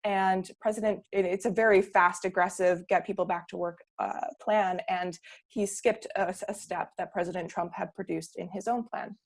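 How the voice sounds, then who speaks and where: A woman's voice: mid-range voice, fairly even intonation, normal speed. A woman from Canada speaking on a panel, earnest and opinionated, often restarting her sentences. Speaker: panelist, political campaigner. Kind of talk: casual discussion.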